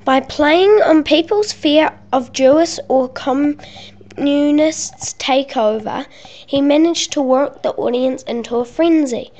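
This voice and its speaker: A woman's voice: high-pitched, a little mellow. A woman reading scripted narration for a school project, carefully and with some stumbles over words. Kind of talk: scripted narration, read aloud.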